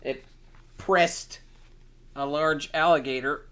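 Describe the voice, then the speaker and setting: A man's voice: on the high side, fairly even intonation, slow pace, a bit bright, clean tone. A man reading a children's picture book aloud in a playful, exclamatory manner with dramatic emphasis. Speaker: storytime reader.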